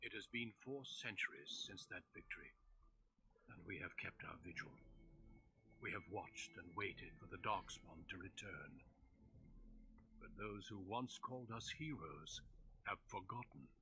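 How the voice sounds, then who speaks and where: An actor playing a grave, weary veteran warrior, solemnly narrating a fantasy game prologue in a measured, portentous manner. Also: male voice, fairly low pitch, huge pitch swings, slight background noise, exaggerated voice, poor audio. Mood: weary, foreboding. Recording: loud music underneath. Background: loud cinematic game music.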